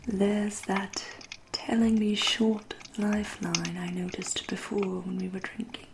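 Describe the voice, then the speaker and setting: A woman playing a centuries-old vampire in an ASMR roleplay, with an English accent, a soft breathy half-whisper and a genteel, teasing, politely menacing manner. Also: mid-range voice, fairly even intonation, background noise. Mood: polite, intrigued. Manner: slow, deliberate, politely menacing.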